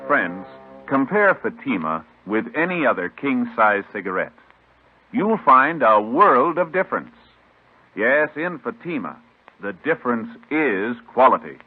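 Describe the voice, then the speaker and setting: A man doing formal 1950s radio announcing in a measured, declamatory way. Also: mid-range voice, sing-song, breathy edge. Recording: tinny old radio recording, music behind.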